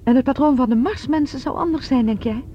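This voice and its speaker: A woman's voice: sits high, fast, mellow, close to an everyday voice. A woman playing a sceptical young fugitive in a Dutch science fiction radio drama, full of doubts and questions. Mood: doubtful, questioning. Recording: dull lo-fi radio recording with hiss.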